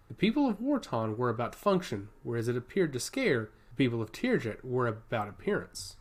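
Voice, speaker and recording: A man reading a scripted fantasy story aloud as its narrator. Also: mid-range voice. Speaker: audiobook narrator.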